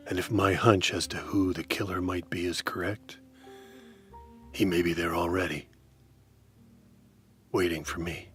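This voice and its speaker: A man narrating in hard-boiled first person as a detective, with a dry, wry, deadpan delivery. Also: low voice.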